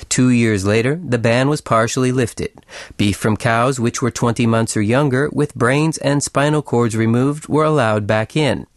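Man for scripted international news report on radio; mid pitch, no reverb. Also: normal speed, studio quality. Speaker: news reader.

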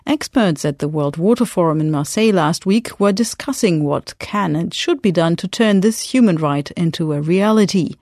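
A woman reads scripted radio news. Her voice sits a little lower than most women's and is recorded dry, with no audible room. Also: expressive, normal speed, few pauses, a little mellow, no room sound. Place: dry studio.

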